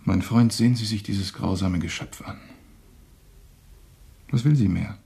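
An actor playing a bitter, self-sacrificing lover in a German radio drama, anguished and melodramatic. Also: male voice, on the low side, a little slow, vocal fry, warm tone, slightly rough, heavily acted. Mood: anguished, despairing.

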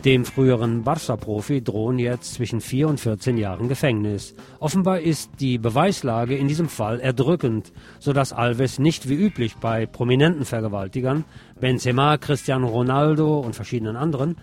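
A man's voice: medium pitch, normal speed, a little mellow, faint music behind. A man reading scripted radio news and commentary, adding opinionated asides of his own.

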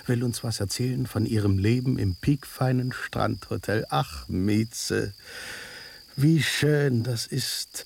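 An actor playing a cocky, territorial tomcat with a sentimental streak, blunt and bossy, in radio drama style. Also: male voice, medium pitch, creaky, darkish tone, slight background noise. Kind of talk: German radio drama acting.

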